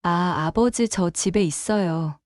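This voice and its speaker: A woman's voice: on the low side, measured pace, dry recording, clean studio sound, close to an everyday voice. A woman voicing a meek, anxious grown daughter apologizing hesitantly in a dramatized story.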